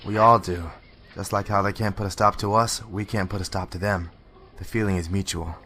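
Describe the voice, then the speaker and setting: Man as deep-voiced weary detective. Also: fairly even intonation, fairly quick, pushed and bright, a little hiss or hum. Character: weary, pessimistic male police detective. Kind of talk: radio drama acting, conversational.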